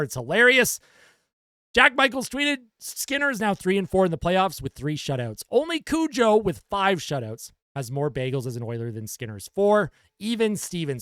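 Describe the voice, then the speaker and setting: A young man hosting a casual post-game hockey fan show, reading out comments with animated enthusiasm while losing his voice. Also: a little high, no echo, clean studio sound. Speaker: fan reaction show host.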